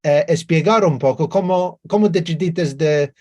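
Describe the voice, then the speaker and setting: A man hosting an interview over a video call, asking questions in a halting way, searching for words and repeating himself. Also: a little high, darkish tone, muffled recording. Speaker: interview host.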